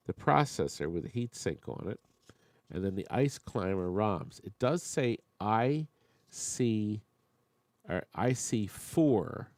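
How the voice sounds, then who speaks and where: A man's voice: on the low side, darkish tone, no room sound. A man hosting a live online auction, chatting with bidders and making joking asides while losing his voice. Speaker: auctioneer and show host.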